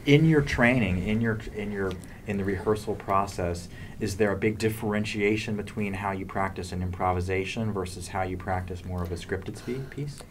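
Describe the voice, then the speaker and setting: Low-voiced male interviewer. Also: moderate pace. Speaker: co-host interviewer. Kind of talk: panel interviewing. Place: small indoor studio. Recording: faint background room noise.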